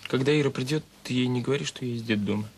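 A man's voice: medium pitch, rapid-fire, slight background noise, natural voice. An actor playing a young student son in an audio drama, guarded and self-conscious, speaking colloquially and coaxingly to his mother. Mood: defensive, pleading. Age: young adult. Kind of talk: naturalistic radio drama dialogue.

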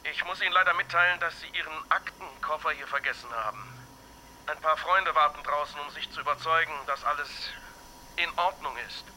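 Guarded, higher-voiced male barkeeper. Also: fairly quick, bright, forceful tone, slight background noise, low-quality recording. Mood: polite, guarded. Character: wary male barkeeper in league with criminals. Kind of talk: German radio crime drama acting.

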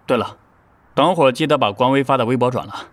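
Casting: idol group's manager played by a man, average pitch, businesslike yet easygoing, audio drama. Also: quick pace, lightly acted. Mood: easygoing, instructive. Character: matter-of-fact, kindly male manager of an idol group. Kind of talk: Chinese audio drama dialogue.

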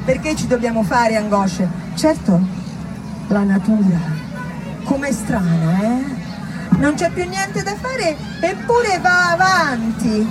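A woman playing a hurried, pious male head physician in a stage monologue, brusque and evasive. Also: high-pitched, animated, a loud crowd, very noisy. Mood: callous, musing. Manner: brusque, dismissive, hedging. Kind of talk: theatrical monologue dialogue.

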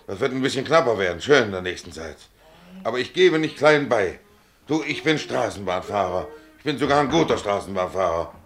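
A man's voice: fairly low pitch, very animated, fairly quick, fairly bright tone. A man playing a stubborn, indignant working tram driver in a German radio play, blunt, emphatic and colloquial. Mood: defiant. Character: stubborn, indignant dismissed male tram driver fighting for his job.

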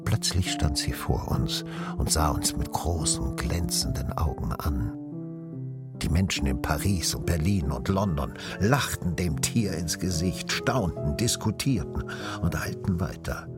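An actor playing a solemn, ironic narrator of a radio drama, speaking in a deliberate theatrical way. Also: male voice, a bass voice, expressive. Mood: solemn, musing. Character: wry, solemn storyteller of the good old days. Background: music.

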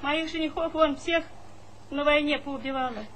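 Casting: brash rural mother, high piercing voice, folksy wheedling, stage comedy, hissy old recording. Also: steady tone, normal speed, a bit bright. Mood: lamenting. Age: middle-aged. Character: loud, plaintive village mother, brash and pleading. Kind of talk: theatrical comedy stage acting. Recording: noisy 1970s stage play recording.

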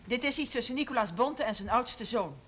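A woman's voice: on the high side, animated, fairly quick, a bit bright, breathy edge. An actress playing a composed, conciliatory mother in a television period drama. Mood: composed, solemn. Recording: faint noise on old television soundtrack.

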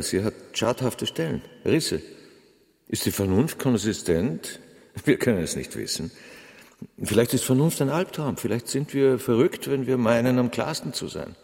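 An actor playing an intense, awkward, logical mathematician in a radio drama, speaking deliberately and precisely. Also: male voice, mid-range voice, sing-song, vocal fry, darkish tone, breathy edge, heavily acted. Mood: menacing, lecturing.

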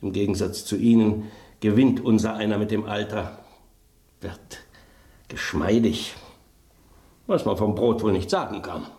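An actor playing a vain, refined old red wine who speaks pompously and savours words, in a German radio drama. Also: male voice, on the low side, a little slow, pauses often, warm tone, reverberant. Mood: smug.

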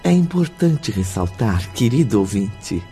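A solemn male storyteller narrates a radio drama to the listeners. He is slow, formal and dramatic, with animated intonation over a clear bed of music. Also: fairly low pitch. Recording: music under the voice.

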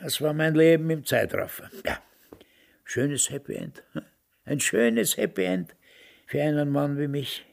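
Gravelly, smug old Austrian man. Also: fairly high pitch, very animated, unhurried, exaggerated voice. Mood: self-satisfied. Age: older, past retirement. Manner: smug, rambling. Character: self-satisfied old ex-Nazi, retired Austrian police major. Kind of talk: radio drama acting, reminiscing.

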